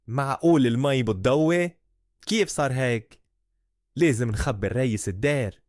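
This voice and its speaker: A man's voice: medium pitch, sing-song, unhurried, a little mellow, no echo, clean studio sound. A man playing an easily astonished young Lebanese monk in a children's cartoon. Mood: amazed.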